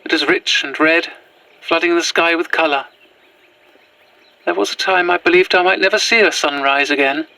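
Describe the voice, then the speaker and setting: A woman's voice: lowish voice, moderate pace, creaky, pauses often, sharp tone, no echo, rough recording. A woman playing a courteous, wistful Victorian figure in an audio drama, with formal, old-fashioned phrasing. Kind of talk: period-styled audio drama monologue.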